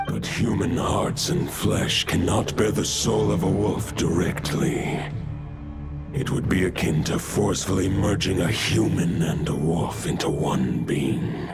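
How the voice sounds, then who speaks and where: An actor playing an ancient, vast male wolf god who speaks in a grave, archaic, measured way, in a video game. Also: loud music behind the voice. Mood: commanding. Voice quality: deep gravelly voice.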